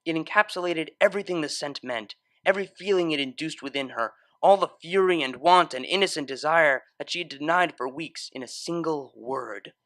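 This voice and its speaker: A man's voice: a little high, brisk, hardly any pauses, fairly bright tone, no room sound. A man narrating a scripted story in a dramatic, literary style. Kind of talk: expressive scripted fan fiction narration.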